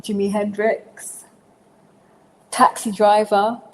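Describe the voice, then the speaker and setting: A woman's voice: mid-range voice, level tone, slow, in a large hall, a little hiss or hum, rough recording. A woman in her forties from Zimbabwe, a podcast guest answering questions in a loose, hesitant way with lots of fillers and restarts. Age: 40s. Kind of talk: casual interview answers.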